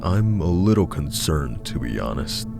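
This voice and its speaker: A man's voice: extremely low pitch, sing-song, unhurried, gravelly, voice pushed up, heavily acted. A man voicing a worried, chatty young male hiker in a horror story. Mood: nervous. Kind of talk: horror story character narration. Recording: music behind the voice.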